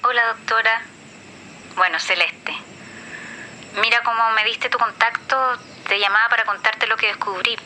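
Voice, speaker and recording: A woman's voice: a little high, fairly even intonation, moderate pace, long pauses, pushed and bright, a little hiss or hum, rough recording. A woman playing a grieving, hesitant, reflective person leaving a grateful voice message in an audio drama. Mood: sad, reflective.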